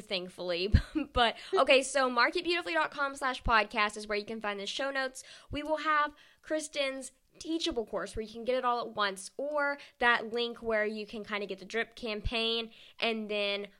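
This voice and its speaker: A woman's voice: a little high, bright, forceful tone. A woman hosting a podcast interview, upbeat and enthusiastic in her praise. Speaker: podcast host. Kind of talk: casual interview.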